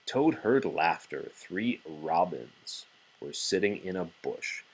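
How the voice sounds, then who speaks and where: A man reading a children's story aloud to a child in an animated narrating style. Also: deep voice, slow, husky, low-quality recording. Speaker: storybook reader.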